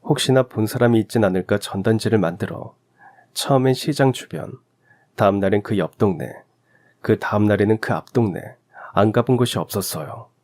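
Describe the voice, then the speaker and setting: Low-pitched man narrating a story. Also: brisk, darkish tone. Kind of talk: scripted first-person story narration.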